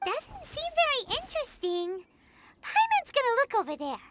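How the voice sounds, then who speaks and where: A woman's voice: huge pitch swings, moderate pace, very noisy, exaggerated voice. A woman voicing a small, chirpy, nosy female fairy companion in a video game, who speaks of herself by name. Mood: bossy. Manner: talks of herself by name, high and bouncy. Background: game music.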